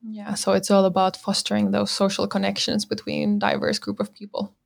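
A woman co-hosting a tech podcast, reading intros and asking measured interview questions. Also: medium pitch, completely flat delivery, creaky. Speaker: podcast co-host. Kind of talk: podcast hosting, partly scripted.

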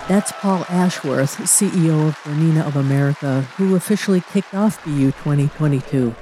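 A woman's voice: low voice, a little fast, warm tone, slight background noise, rough recording. A woman giving upbeat, scripted narration for an event video. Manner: upbeat promotional delivery.

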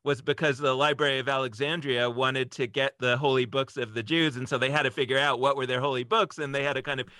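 A man's voice: medium pitch, fairly even intonation, fast talker, hardly any pauses, bright, forceful tone, clear, resonant tone, no echo. A man speaking as a podcast guest, explaining ideas in a casual, discursive way as he thinks aloud.

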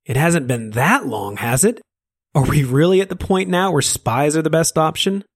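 A man's voice: a little high, animated, normal speed, slightly rough, pitched above the performer's own voice. A man voicing a playful, mocking, scarred demon-like man in a spoken story. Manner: teasing, sarcastic.

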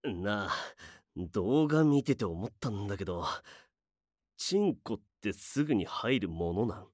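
A man's voice: lowish voice, sing-song, brisk, darkish tone, dry recording, studio quality. A man voicing a curious, flustered young drinking buddy in a Japanese audio drama.

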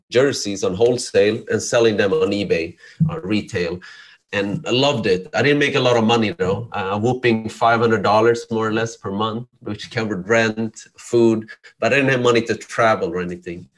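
A man from Sweden, a podcast guest, telling his life story in a relaxed, rambling way with many ums and restarts. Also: fairly low pitch, low-quality recording. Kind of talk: casual storytelling interview.

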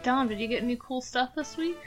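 A woman's voice: high-pitched, brisk, music in the background. A woman co-hosting a casual podcast chat about movies, rambling and filling her sentences with fillers. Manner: rambling, heavy use of filler words. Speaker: podcast co-host.

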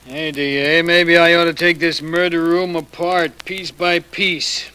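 High-pitched, blunt police sergeant. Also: male voice, little pitch movement, measured pace, sharp tone, smooth. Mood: dogged. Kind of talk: 1940s-50s radio drama acting. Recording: noisy old radio recording with clicks.

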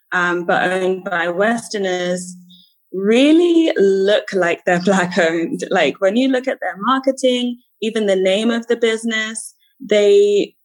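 A woman's voice: medium pitch, poor audio. A woman with a Ghanaian British accent, speaking as a podcast guest in earnest, enthusiastic, casual interview talk. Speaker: podcast guest, healer and entrepreneur.

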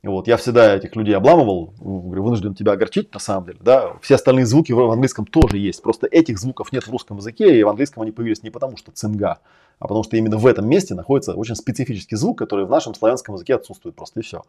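A man's voice: lowish voice, rapid-fire, mellow. A man hosting a live stream, lecturing in a casual, emphatic way, categorical and often swearing. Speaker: host and language-learning coach.

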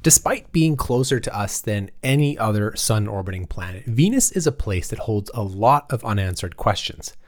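A man's voice: fairly low pitch, expressive, normal speed, hardly any pauses. A man hosting a podcast, reading a scripted intro and then chatting eagerly with a guest. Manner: eager, with many fillers and restarts in chat. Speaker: podcast host. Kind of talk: scripted intro, then casual interview chat.

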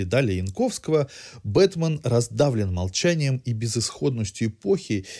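A man's voice: medium pitch, a little mellow. A man hosting a podcast, reading a scripted cultural review in an articulate, literary way. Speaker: podcast host. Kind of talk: scripted cultural news narration.

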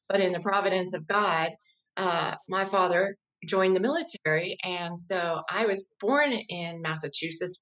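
A woman in her fifties speaks as an interview guest, her voice sitting a little low. She explains things in a measured, thoughtful way and sometimes restarts a sentence while she thinks. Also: a bit bright, muffled recording. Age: 50s. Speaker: guest, diversity educator. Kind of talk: conversational interview.

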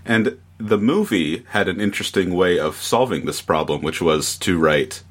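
A man's voice: deep voice, normal speed, fairly bright tone. A man appearing as a podcast guest, talking casually.

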